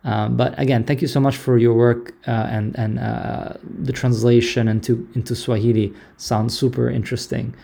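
A man hosts a live online audio chat in a gravelly, mellow voice. He talks casually and haltingly, stopping and restarting often. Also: mid-range voice, little pitch movement. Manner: halting, many fillers and restarts. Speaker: host of an online audio discussion.

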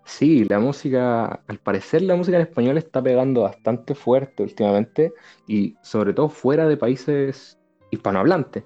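Male co-host, Chilean accent, relaxed dark tone, conversational delivery, slightly dull audio over light music. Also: mid-range voice, normal speed. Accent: Chilean Spanish. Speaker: radio show co-host. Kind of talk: casual chat. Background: background music.

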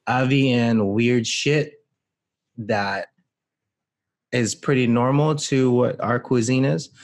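A man's voice: mid-range voice, slow pace. A man from the Philippines, talking casually on a podcast, rambling with frequent restarts. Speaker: podcast guest. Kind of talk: casual chat.

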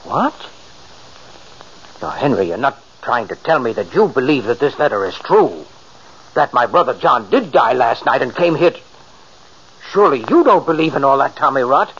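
A man's voice: medium pitch, sing-song, normal speed, pauses often, a bit bright, slightly rough. An actor playing an agitated, skeptical middle-aged father in a 1940s radio drama, incredulous and emphatic, prone to stammer when rattled. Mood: scoffing. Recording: muffled, hissy old radio recording.

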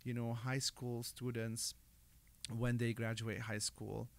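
Guy, gravelly fry in his voice, telling stories laid-back with lots of uhs and ums. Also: medium pitch, slow. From Lebanon. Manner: relaxed storytelling, many fillers and restarts. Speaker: interview guest. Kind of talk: casual interview chat.

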